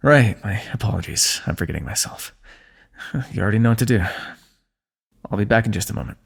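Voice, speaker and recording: A man voicing a kingdom's ruler who gently chides and teases a wounded companion while tending his injury, in an intimate fantasy roleplay. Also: fairly low pitch, lively intonation, moderate pace, gravelly, pauses often, slightly rough. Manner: gentle, chiding, teasing, close and intimate. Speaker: ASMR roleplay performer. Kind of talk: scripted fantasy ASMR roleplay.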